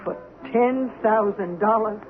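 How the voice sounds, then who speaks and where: A woman's voice: medium pitch, slow, close to an everyday voice. A tough, brassy saloon keeper of the Old West voiced by a woman, brash and challenging in manner. The sound is vintage radio drama, thin and narrow-band, with music behind her. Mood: astonished. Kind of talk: 1970s radio drama acting.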